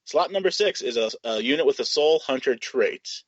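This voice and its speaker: A man's voice: medium pitch, animated, moderate pace, dry recording. A man chatting casually on a hobby podcast, talking through game details in an informal, conversational way. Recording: dull, lo-fi podcast audio.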